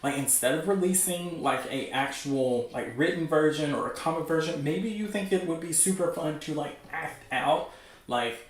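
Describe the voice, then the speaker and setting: Guy streamer w a highish voice, gushy n rambly, says like a lot, super echoey room, bit far from mic. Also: normal speed. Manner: gushing, excitable fan enthusiasm; rambling, heavy use of "like". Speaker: livestream host. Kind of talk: casual livestream chat about webcomics.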